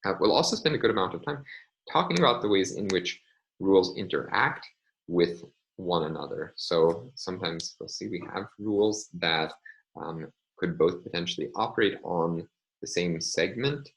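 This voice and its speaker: A man lecturing in a measured way, often pausing with ums and uhs as he explains. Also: sits low, expressive, fairly bright tone, poor audio. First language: English. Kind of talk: recorded online lecture.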